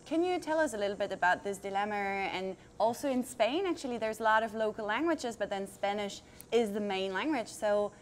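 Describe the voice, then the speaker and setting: A woman's voice: mid-range voice, animated, brisk. Woman interviewer with faint background hum. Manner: curious, rephrasing questions with fillers. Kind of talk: conversational interview questions.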